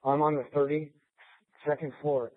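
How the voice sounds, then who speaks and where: Flat-toned policeman on narrow radio. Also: on the high side, measured pace, dry recording. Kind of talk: terse procedural radio traffic.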